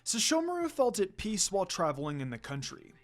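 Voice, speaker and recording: A man narrates a fan fiction story from a script. His voice sits a little high for a man, and music plays behind him. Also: huge pitch swings, a little fast.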